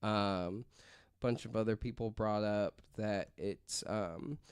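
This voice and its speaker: A man's voice: lowish voice, fairly even intonation, slow pace, clean tone, no echo. A man presenting a story on a casual podcast, reading from notes and rambling through asides peppered with "like".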